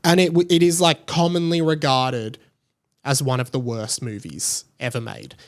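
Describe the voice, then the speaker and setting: A man's voice: mid-range voice, little pitch movement, moderate pace. A young man hosting a podcast solo, giving casual, opinionated commentary in a rambling, conversational way with emphatic points. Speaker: podcast host.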